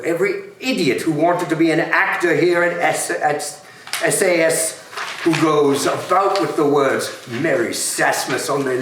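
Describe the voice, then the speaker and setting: A man playing a grumpy, scornful old miser uncle in an amateur dramatic read, with gruff, profane exasperation. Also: fairly high pitch, a bit bright. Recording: very echoey room, distant, faint noise.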